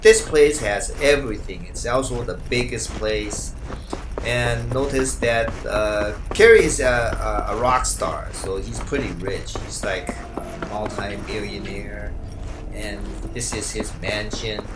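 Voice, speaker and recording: Slow-paced man with noise behind him. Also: on the low side. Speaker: video game guide host. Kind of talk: unscripted walkthrough commentary.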